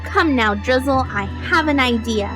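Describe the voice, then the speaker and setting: A woman's voice: sits high, normal speed, over music, close to an everyday voice. A woman voicing a cranky, scheming old witch from a children's storybook, commanding and theatrical. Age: older. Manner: commanding, theatrical, chanting spells.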